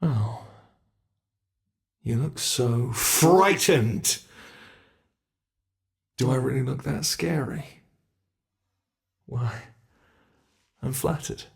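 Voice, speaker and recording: A man's voice: medium pitch, extremely slow, vocal fry, long pauses. A man voicing an ancient, playful monster under the bed, with a British accent, teasing and menacing in a whispery ASMR roleplay.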